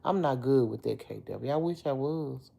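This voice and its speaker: A middle-aged woman with a deep, slightly hoarse voice, chatting casually on a livestream and answering commenters. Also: moderate pace, dark tone. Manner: chatty, talks back to commenters by name. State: a little hoarse. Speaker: livestream host. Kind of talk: casual livestream chat with viewers.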